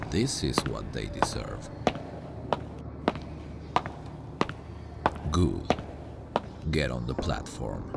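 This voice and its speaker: A man playing a sardonic demon guardian of hell in a slow ASMR roleplay, smug and dryly menacing, with a very deep, gravelly voice. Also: exaggerated voice. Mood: callous, commanding. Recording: noisy ambient sound bed. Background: hellish ambience.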